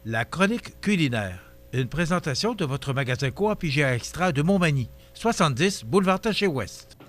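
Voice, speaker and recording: A man's voice: a little high, moderate pace. A man with a Québécois French accent hosting a local TV morning show, reading birthdays and interviewing a guest in a store kitchen. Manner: lively, stumbles over names and jokes about it.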